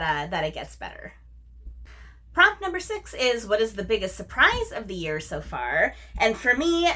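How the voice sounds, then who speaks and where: A woman with a fairly high, bright voice talks casually to her viewers as a book reviewer. Her pitch moves in a lively way, and she leans on words with enthusiasm, often repeating a phrase for emphasis. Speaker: book reviewer, video host. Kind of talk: casual chatty book-tag vlog talk to camera.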